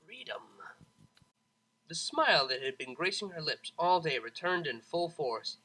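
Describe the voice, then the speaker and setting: A narrator reading a story aloud in an expressive, scripted audiobook style. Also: medium pitch, measured pace, poor audio. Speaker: fan fiction audiobook narrator.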